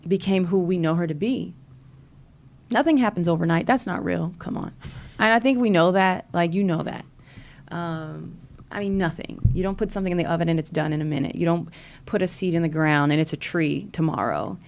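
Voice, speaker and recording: A woman's voice: fairly low pitch, a little fast, creaky, a little mellow. A woman from Louisiana with a Southern accent, a podcast guest talking earnestly and encouragingly, with many fillers. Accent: Southern American. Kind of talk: casual interview chat.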